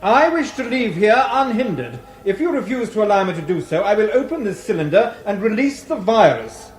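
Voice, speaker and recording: An actor in a radio drama playing a cold, haughty scientist villain with formal, ruthless menace. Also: male voice, high voice, big pitch swings, a little fast. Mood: defiant, threatening. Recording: echoey space with faint background noise.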